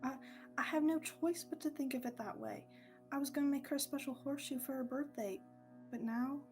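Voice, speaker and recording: A young woman playing a bitter, grieving widowed blacksmith, halting and downcast, in a student radio play. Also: high voice, a little mellow, soft background music, natural voice. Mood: bitter grief. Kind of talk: student radio-theatre acting.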